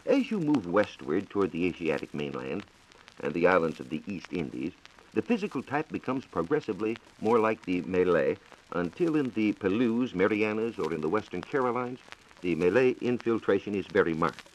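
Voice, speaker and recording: Low-voiced American man, formal radio lecturer. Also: very animated, a little hiss or hum, poor audio. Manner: measured, formal lecturing delivery. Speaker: science reporter. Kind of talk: scripted 1940s radio science talk. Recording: 1940s radio broadcast recording.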